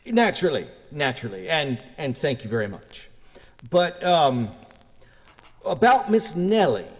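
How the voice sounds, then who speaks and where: Man playing a high-pitched, courtly defense lawyer. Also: sing-song, unhurried, in a large hall. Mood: courteous. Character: earnest, courteous small-town defense lawyer. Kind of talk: old-time radio drama acting.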